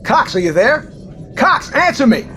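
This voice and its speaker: A man's voice: very high-pitched, sing-song, unhurried, music in the background, full character voice. A man playing a formal, authoritative submarine captain in an audio drama, with clipped military speech. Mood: alarmed, urgent. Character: commanding, dutiful male submarine captain. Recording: roomy, distant, noisy vehicle ambience.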